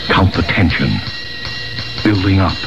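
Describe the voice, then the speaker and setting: A man's voice: low-pitched, measured pace. An American man reading dramatic scripted advertising in 1960s radio style. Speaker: commercial announcer. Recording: muffled old AM radio spot. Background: loud music.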